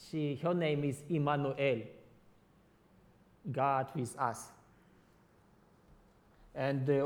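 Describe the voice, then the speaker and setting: A man preaching in careful but halting English, his showing in his phrasing. He often restarts words and reaches for the right expression. His voice sits a touch higher than most men's. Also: darkish tone. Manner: halting non-native English with many restarts. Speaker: ministry worker. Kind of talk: sermon.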